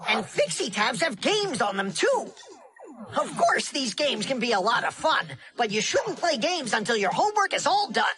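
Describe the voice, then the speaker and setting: Cheerful cartoon explainer, highish bright voice, lively intonation, instructive. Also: female voice, normal speed. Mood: enthusiastic. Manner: instructive, enthusiastic. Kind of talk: scripted children's cartoon narration.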